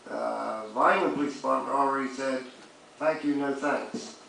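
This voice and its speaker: A man's voice: medium pitch, normal speed, creaky, a bit bright. A man serving as a city commissioner makes blunt, plain-spoken clarifying remarks at a public meeting. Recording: very echoey room, distant mic, faint noise.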